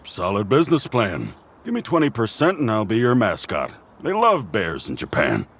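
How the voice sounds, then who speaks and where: A man as a husky, cocky bear. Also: fairly low pitch, huge pitch swings, normal speed, fairly bright tone, heavily acted. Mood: smug, joking. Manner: cocky, wry, salesman-like. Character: cheeky, self-promoting male spotted bear. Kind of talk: motion comic voice acting.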